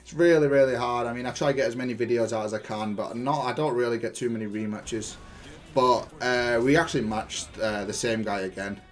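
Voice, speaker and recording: A man's voice: medium pitch, fairly quick. A man giving explanatory gameplay commentary as a player, thinking aloud through his match in gaming jargon. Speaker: gaming YouTuber and player analysing own match.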